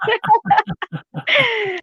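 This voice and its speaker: A woman's voice: very high voice, extremely slow, no echo, muffled recording. A woman from Malaysia chatting casually and giggly as co-host of a live stream from her living room at home.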